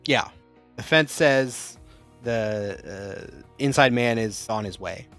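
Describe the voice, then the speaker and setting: A man's voice: on the low side, takes it slowly, fairly bright tone, soft background music. A man acting as game master, explaining rules and setting up the scene for his players. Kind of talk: running a tabletop game.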